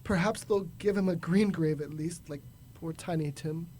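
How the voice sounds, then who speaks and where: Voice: mid-range voice, normal speed, mellow, a little hiss or hum, lightly acted. A young adult performer playing an old repentant miser in a radio drama, theatrical and stammering. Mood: fearful, mournful.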